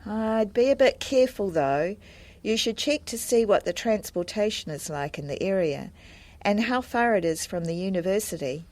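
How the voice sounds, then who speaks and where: A woman's voice: mid-range voice, expressive, darkish tone, dry recording, close to an everyday voice. Actress as a helpful woman, dry studio sound. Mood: helpful, calm. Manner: measured, clear, explanatory. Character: helpful friendly woman from Wellington advising a friend. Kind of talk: scripted listening-test dialogue.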